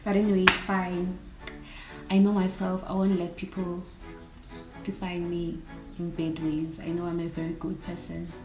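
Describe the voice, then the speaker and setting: Casting: South African woman, 19, casual vlogger, slightly low even voice, roomy sound. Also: normal speed. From South Africa, Cape Town. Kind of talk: casual get-to-know-me vlog chat. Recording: echoey room, music underneath. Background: background music.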